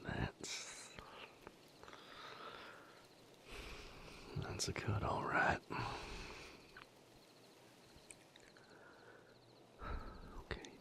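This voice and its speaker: A man playing a protective, tender boyfriend in an intimate audio roleplay, speaking gently and earnestly in a breathy, creaky near-whisper. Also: close to an everyday voice. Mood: soft, careful. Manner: slow, gentle, earnest, firm. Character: protective, tender male boyfriend tending his hurt partner.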